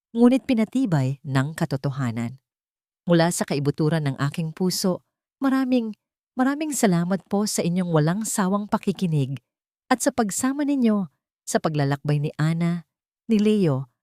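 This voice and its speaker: A woman's voice: on the low side, dark tone, no room sound, clean studio sound. A woman reading scripted dramatic story narration in an earnest, sentimental manner. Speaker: narrator and host of a storytelling channel.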